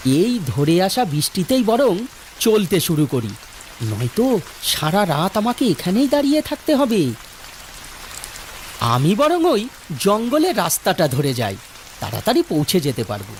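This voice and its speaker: A man's voice: high voice, wildly swooping pitch, measured pace, pauses often, a little hiss or hum, exaggerated voice. A man playing an anxious, kindly young villager talking fretfully to himself in a horror cartoon. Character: anxious kindly young married villager travelling home alone.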